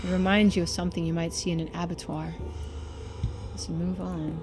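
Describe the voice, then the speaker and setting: A woman's voice: on the low side, little pitch movement, normal speed. A woman streamer giving casual playthrough commentary, thinking aloud and reading game text out.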